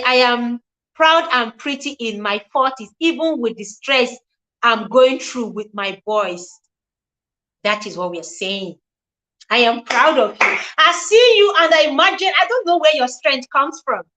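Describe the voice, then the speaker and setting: Forties woman live-streaming, high voice, earnest and preachy, repeats herself a lot. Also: bright, forceful tone, rough recording. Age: 40s. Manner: earnest, repetitive, preachy cadence, greets viewers by name. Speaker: relationship show host. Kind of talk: live-stream talk to viewers.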